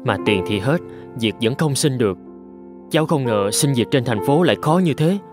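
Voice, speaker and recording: An actor playing a humble, polite young jobseeker from the countryside in a cartoon, hesitant and earnest. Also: male voice, mid-range voice, animated, normal speed, lightly acted. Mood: downcast. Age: young adult. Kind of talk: animated moral-tale voice acting. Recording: prominent music under the voice.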